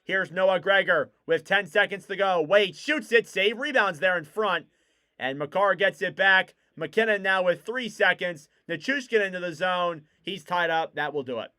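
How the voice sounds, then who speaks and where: A young man doing live play-by-play for a hockey stream, excitable and rising to shouts on big plays, his voice wearing out. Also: high-pitched, moderate pace, smooth. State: losing his voice. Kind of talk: play-by-play commentary.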